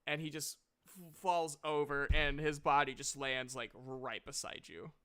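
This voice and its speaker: A man's voice: on the high side, steady tone, a little slow, pushed and bright, clean tone. A man casually narrating and refereeing a tabletop game as game master, with a self-conscious, apologetic manner.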